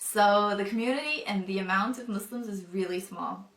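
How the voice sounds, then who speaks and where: A young woman, not a native English speaker, telling her personal testimony thoughtfully, with many pauses and fillers. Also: mid-range voice, a bit bright, reverberant, recorded from a distance, poor audio. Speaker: convert telling her story.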